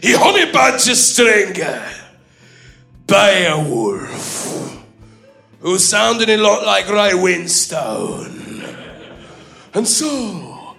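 Male comic storyteller, high lively voice, mock-foreign broken English, bursts of shouting. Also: takes it slowly, creaky, soft background music. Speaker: comedy storyteller. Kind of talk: comic retelling of an epic in broken old-world English. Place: small comedy club stage.

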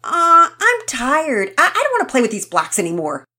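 A woman voicing an excitable, demanding little boy in a storybook reading. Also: extremely high pitch, brisk, pitched above the performer's own voice, heavily acted. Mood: whiny.

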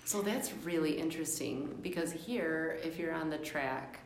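An American woman hosts a relaxed, conversational interview. Her voice sits a little low for a woman. The recording has a clear room echo and faint background noise. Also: normal speed. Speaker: podcast host, English teacher. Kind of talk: casual interview chat.

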